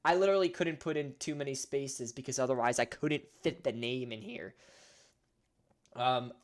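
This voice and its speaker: A man's voice: on the high side, a bit bright. A man with a northern US accent, casually reading out simulated game events with offhand jokes and stumbles over names. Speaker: gaming channel host.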